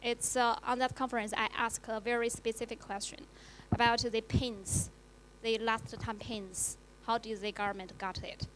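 A woman journalist whose first language is Chinese, speaking haltingly with many fillers on a panel in a lecture hall with a handheld mic. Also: fairly high pitch, level tone, a little hiss or hum. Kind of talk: panel discussion.

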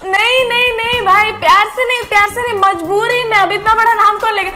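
A young woman with a very high voice gives casual, comic commentary. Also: fairly even intonation, a little fast, music in the background. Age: young adult. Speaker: content creator in played clips.

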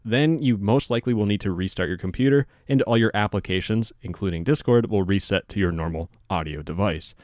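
A young man narrating a casual software tutorial in an informal, matter-of-fact way. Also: on the low side, a little fast, hardly any pauses, dry recording, studio quality. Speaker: tutorial host.

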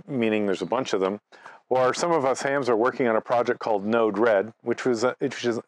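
A man's voice: medium pitch, no echo. A man, giving an informal, conversational instructional talk with step-by-step examples. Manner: conversational, explains through step-by-step examples and anecdotes. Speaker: presenter, amateur radio operator. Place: outdoors in front of leafy trees.

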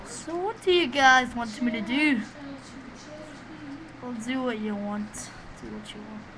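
A man with a small, high voice giving rambling, profane, excitable commentary while playing a game, recorded on a webcam microphone. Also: unhurried, crowd noise. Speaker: gamer doing a let's play. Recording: noisy home recording.

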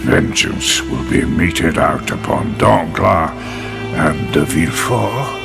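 An actor playing a solemn, prophetic old priest, a dead mentor, grave and portentous in a radio drama. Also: male voice, extremely low pitch, takes it slowly, gravelly, exaggerated voice. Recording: loud music under the voice.

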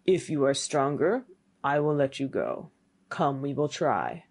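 A woman voicing a huge, terrible old male fiend who makes slow, drawn-out threats in a storybook tale. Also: a bass voice, very animated, darkish tone, slightly rough, pitched below the performer's own voice, heavily acted. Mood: menacing.

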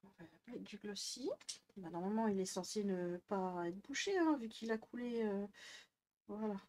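A woman's voice: medium pitch, moderate pace, darkish tone, a little hiss or hum. A woman in her thirties vlogging casually from her desk at home, rambling with lots of fillers and restarts. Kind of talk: casual chatty vlog. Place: bedroom desk, indoors. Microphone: headset mic.

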